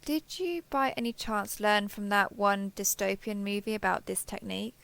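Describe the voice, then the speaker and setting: A woman's voice: medium pitch, moderate pace, creaky, fairly bright tone, no echo. A woman playing a sheltered young female alien, naive and obsessively devoted, talking earnestly and intimately to the listener in an audio roleplay. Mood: shy, fond. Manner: earnest, wheedling, over-explaining.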